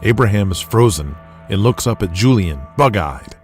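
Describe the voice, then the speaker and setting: Low-pitched male drama narrator. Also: animated, moderate pace, music in the background.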